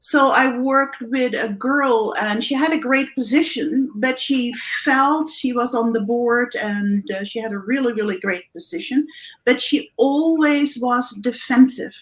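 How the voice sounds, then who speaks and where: A woman from the Netherlands with a Dutch accent, a guest coach giving animated interview answers with many restarts and tag questions. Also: a little high, measured pace, rough recording. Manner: animated, thinks aloud with many restarts and "right?".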